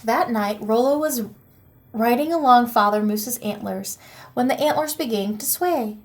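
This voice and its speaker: A woman teacher reading a children's picture book aloud in an expressive, animated storytelling manner. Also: on the high side, unhurried.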